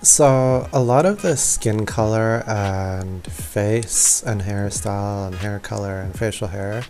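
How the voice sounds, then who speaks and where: A man's voice: fairly low pitch, takes it slowly, darkish tone. A man giving a casual, informal and slightly apologetic walkthrough commentary on a video game. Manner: informal, apologetic, self-deprecating. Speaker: gaming video creator.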